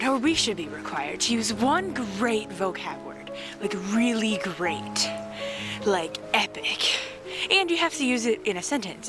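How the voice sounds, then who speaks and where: A woman's voice: on the high side, fairly bright tone. A young American woman, a student traveling abroad, chatting casually and rambling to her sibling in a travel vlog, outdoors at a castle. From United States. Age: young adult.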